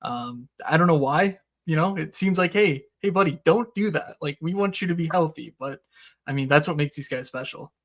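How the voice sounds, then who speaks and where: A man's voice: fairly high pitch, moderate pace, a bit bright. A man who is a recurring guest analyst, talking casually and with enthusiasm as he explains draft strategy, with frequent fillers.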